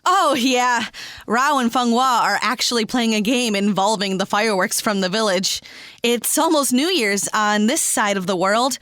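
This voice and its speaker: Woman playing a high-voiced, casual young traveler. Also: moderate pace, fairly bright tone, no room sound, studio quality, close to an everyday voice. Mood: relaxed. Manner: casual, conversational. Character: laid-back female traveler confiding in a friend. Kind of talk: audio drama acting.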